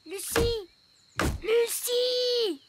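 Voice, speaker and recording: A woman's voice: extremely high pitch, drawn-out words, heavy background noise, full character voice. A woman voicing an earnest, plucky little elf boy in a childlike cartoon style. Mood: urgent. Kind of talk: French cartoon dub.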